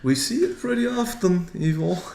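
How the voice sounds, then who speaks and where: A man's voice: sits high, animated, moderate pace, darkish tone, slight background noise. A Belgian man with a Flemish accent, a native Dutch speaker, casually commentating a card game stream in English and chatting with viewers. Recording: roomy echo.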